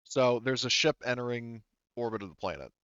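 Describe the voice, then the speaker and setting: A man acting as game master, improvising tabletop narration in a halting, casual way and often backtracking. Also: medium pitch, a bit bright, clean tone, no echo, lo-fi sound.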